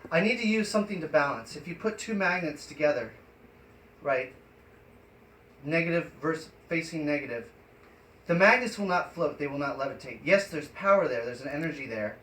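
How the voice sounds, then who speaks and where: A man with a somewhat high voice hosts an improvised live stream. He rambles haltingly, chatting casually and giving directions. The sound is echoey, with faint noise behind him. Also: lively intonation, a bit bright. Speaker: live stream host and performer. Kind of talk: casual improvised livestream chat. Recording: echoey room, noisy live stream audio.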